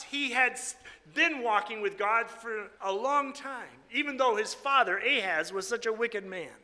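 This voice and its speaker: A man delivers an informal sermon in a high, very bright and forceful voice with a slight rasp. His manner is folksy and teasing as he addresses his congregation. The sound carries long hall reverb. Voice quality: slightly raspy. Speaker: preacher. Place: large reverberant room before a congregation. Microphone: microphone on a stand or PA.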